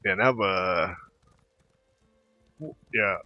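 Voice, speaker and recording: A man's voice: sits low, fairly even intonation, extremely slow, vocal fry, dry recording, highs cut off, soft background music. A man giving casual, rambling game commentary, often repeating words and trailing off, into a close microphone. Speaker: gaming video host.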